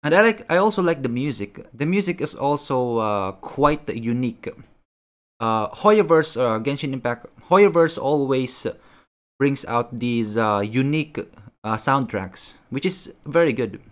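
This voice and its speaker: A young man giving casual reaction commentary as a video host, haltingly, often repeating his phrases. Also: mid-range voice, lively intonation. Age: young adult.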